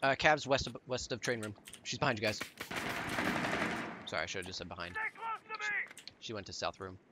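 A young man playing a shooter game with friends, excitable and swearing often as he chats and gives callouts. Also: lowish voice, moderate pace, heavy background noise. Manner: excitable, swears a lot, laughs. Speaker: streamer playing a tactical shooter. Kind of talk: casual gameplay chat and callouts.

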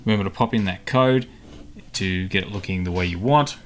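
A man hosting a casual software tutorial, talking informally and a little hesitantly as he thinks aloud. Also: deep voice, a little fast. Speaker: tutorial host. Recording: clicks and typing, faint background noise. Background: keyboard typing and mouse clicks.

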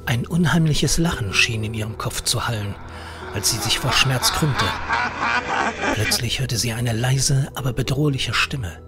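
Guy reading a spooky story, mid pitch, eerie music in the background. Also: measured pace. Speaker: narrator. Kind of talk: scripted horror narration. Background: eerie horror music.

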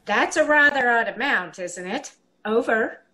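A woman's voice: on the high side, expressive, a little slow, bright, forceful tone. A woman acting a literal-minded office clerk in an old-time radio comedy. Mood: businesslike.

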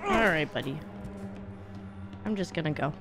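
Animated young woman streaming a game. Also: low voice, moderate pace, over loud music. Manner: reacts loudly, swears casually. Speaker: livestream host and speedrunner. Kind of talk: casual gaming stream commentary. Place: indoors, gaming room at home.

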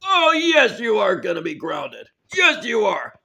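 A stern, indignant cartoon principal acted out by a man scolds in emphatic, repetitive phrases. The voice is very high and bright, swinging up and down as it lectures. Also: wildly swooping pitch, a little slow, gravelly, husky, heavily acted. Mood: stern, scolding. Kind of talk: amateur role-played children's cartoon story.

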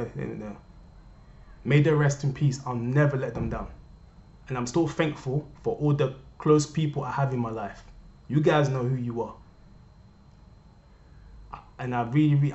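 A young man from Cameroon speaks straight to his viewers in an impassioned, repetitive home vlog. His voice sits at an ordinary pitch. It rings around a bare living room through a dull webcam mic. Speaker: vlogger. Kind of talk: earnest personal rant to camera. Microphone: webcam at desk. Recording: roomy, dull lo-fi webcam audio.

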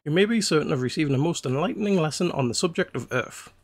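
A man's voice: fairly high pitch, animated, faint music behind, voice pushed up. A man with a slightly congested nose voicing a learned male guild master who formally instructs his student. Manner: measured, formal reading of dialogue.

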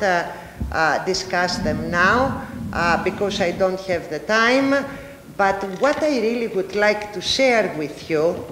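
A middle-aged woman with a Greek accent, a native Greek speaker, giving an academic panel presentation. She speaks haltingly, with frequent filler pauses. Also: medium pitch, fairly bright tone, a little hiss or hum. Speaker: university lecturer presenting research. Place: large ballroom, panel table. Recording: echoey hall with long reverb.

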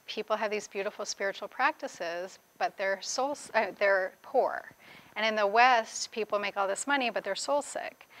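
Bright-voiced woman author reading aloud. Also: medium pitch, poor audio. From grew up in the Bahamas. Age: 40s. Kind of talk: reading aloud from own memoir, with spoken commentary. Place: indoors, seated before a plain wall at a reading event.

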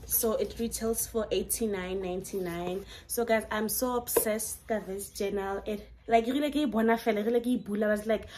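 A woman's voice: mid-range voice, fairly even intonation, brisk, few pauses, noisy background. A young South African woman with a Setswana accent, chatting through a shopping haul in her bedroom. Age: young adult. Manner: chatty, enthusiastic, code-switching. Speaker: vlogger. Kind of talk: casual haul vlog, mixing English and Setswana. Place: bedroom at home, indoors.

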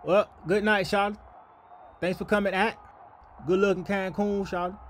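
A man's voice: sits high, flat delivery, moderate pace, a little hiss or hum. A man doing comedic sports parody voiceover with slangy, mocking banter.

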